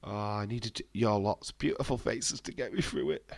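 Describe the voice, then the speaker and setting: A man's voice: fairly low pitch, expressive, normal speed, no room sound. A man with a head cold, chatting casually and bluntly on a live stream, swearing freely and answering viewers' messages. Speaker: wrestling watch-along streamer.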